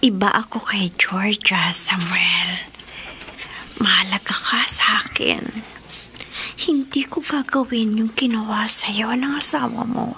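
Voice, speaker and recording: An actress playing a seductive, possessive Filipino enchantress with a breathy whisper, in a radio horror drama. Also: female voice, mid-range voice, steady tone, takes it slowly, bright, forceful tone. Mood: seductive, tender. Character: seductive, possessive female enchantress luring a married man. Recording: clear background noise bed.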